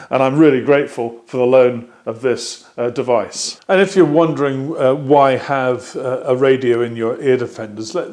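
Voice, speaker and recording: A British man hosting a workshop video, explaining a product to camera in a measured, hesitant way with frequent ums. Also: medium pitch, a little mellow.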